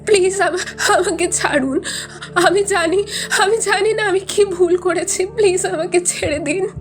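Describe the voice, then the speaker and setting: A very high-pitched young woman's voice acted by a woman, frightened and tearful. She is a helpless captive in an audio thriller, begging in broken phrases with music faintly underneath. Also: unhurried. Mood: tearful pleading. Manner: breathless broken pleading. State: crying. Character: terrified young college woman held captive, pleading desperately. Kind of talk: audio thriller story acting.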